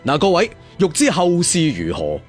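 Animated male Cantonese storyteller. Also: on the high side, faint music behind. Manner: theatrical, conversational asides, drawn-out emphatic endings. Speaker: storyteller narrator. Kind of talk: dramatic serial fantasy storytelling.

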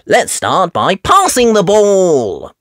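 A kindly mother rabbit from a children's story, acted out by a woman. She is encouraging, with a raised, very animated pitch and a dry close sound. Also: slow, no room sound, exaggerated voice.

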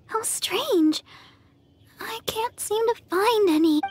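A woman voicing a gentle, polite, timid teenage girl healer in an anime-style video game, hesitant and sweet. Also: very high voice, drawn-out words, a little hiss or hum, exaggerated voice. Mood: puzzled.

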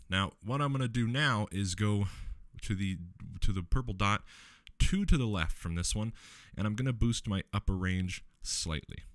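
Man, very deep low voice, relaxed tutorial host, step-by-step explaining, dry close sound. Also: no echo. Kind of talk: screen-recorded software tutorial.

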